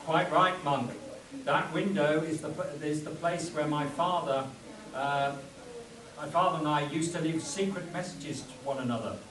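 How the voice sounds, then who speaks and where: A man's voice: fairly high pitch, moderate pace, distant mic, crowd noise, close to an everyday voice. A man playing an earnest, commanding pulp adventure hero in a spoof radio play, stumbling over his script. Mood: explaining, earnest. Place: convention stage before an audience. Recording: echoey convention room from afar, audience noise.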